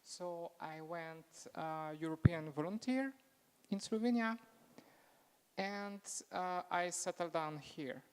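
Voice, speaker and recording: A woman with a French accent gives a slow, halting self-introduction at a meetup, sounding a little apologetic. Her voice sits a little low and stays smooth and resonant. A long hall reverb trails behind her words. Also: a little mellow. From France. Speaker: invited speaker. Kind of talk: presentation at a meetup. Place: event room with stage.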